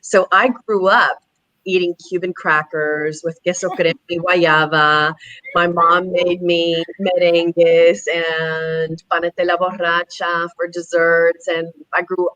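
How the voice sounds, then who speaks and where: Chatty middle-aged woman from Texas, show guest, lowish voice, very dry close sound. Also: pushed and bright, no echo, rough recording. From Houston, Texas. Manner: chatty, laughs as she talks. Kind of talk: casual chat.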